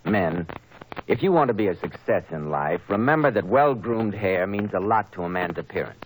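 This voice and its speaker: A man announcing and pitching for a sponsor in a polished, emphatic 1940s radio style. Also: animated, moderate pace, a little hiss or hum, poor audio. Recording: old 1940s radio recording.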